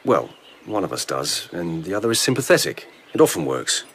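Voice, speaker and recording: An actor playing a proud, jealous court lieutenant in a radio drama, blunt and interrogating. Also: male voice, low-pitched, big pitch swings, a little fast, fairly bright tone, a little hiss or hum, heavily acted. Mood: cool, wry.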